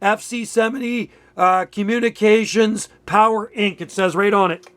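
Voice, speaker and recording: A man's voice: sits high, fairly even intonation, normal speed, a bit bright, a little hiss or hum. A middle-aged or older man chatting casually and enthusiastically in a folksy way during a two-way radio conversation. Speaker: radio operator.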